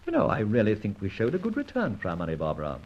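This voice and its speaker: An actor playing an urbane, whimsical gentleman adventurer in old-time radio drama, with a theatrical, wry, mock-formal way of talking. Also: fairly low pitch, huge pitch swings, fairly quick, slight background noise. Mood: wry, matter-of-fact. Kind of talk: old-time radio drama acting and first-person narration. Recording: dull vintage radio recording.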